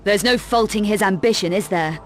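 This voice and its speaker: A woman's voice: mid-range voice, fairly quick, natural voice. An actress playing a tough, cool, sardonic young female adventurer in a video game, speaking in a curt, dry, probing manner. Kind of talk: dramatic video game cut-scene acting. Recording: loud music under the voice.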